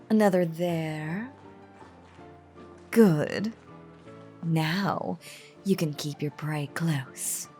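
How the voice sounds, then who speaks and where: A woman playing an elegant ancient vampire in a scripted audio drama, teasing and coaxing with theatrical, old-fashioned formality. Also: low-pitched. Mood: playful, coaxing. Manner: theatrical, archaic, velvety formal phrasing.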